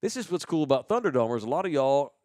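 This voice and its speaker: An American man hosting a podcast with casual banter and sponsor shout-outs, talking in a slangy, rambling, profane way. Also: fairly high pitch, big pitch swings, fast, no echo, clean studio sound. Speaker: host of a live podcast.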